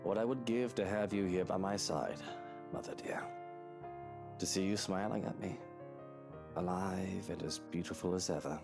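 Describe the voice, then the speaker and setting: A man's voice: low voice, steady tone, normal speed, creaky, pauses often, music in the background. An actor voicing a grieving, brooding young man in a visual novel, speaking in a sorrowful monologue. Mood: grieving, wistful. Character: brooding, grieving young man longing for his dead mother.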